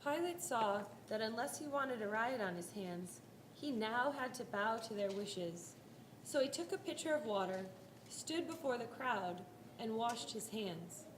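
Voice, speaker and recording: A woman narrating a scripted dramatic Bible reading, telling the story in a deliberate, expressive way. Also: fairly high pitch, slight background noise. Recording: roomy live hall, faint music.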